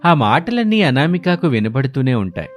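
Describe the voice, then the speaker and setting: Male story narrator, mid pitch, scripted moral tale delivery, light music bed. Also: sing-song, moderate pace.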